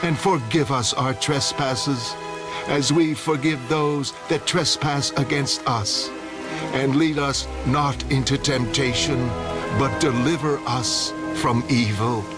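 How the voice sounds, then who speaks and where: A man playing a solemn priest, reciting liturgy in a measured way for a motion comic. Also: medium pitch, lightly acted. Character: solemn male priest at a funeral. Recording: music behind the voice.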